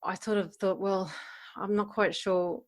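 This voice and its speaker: A woman's voice: mid-range voice, steady tone, measured pace. Candid middle-aged woman giving interview answers. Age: around 50. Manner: candid, informal, often voices own thoughts as quotes. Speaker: life coach and podcaster being interviewed.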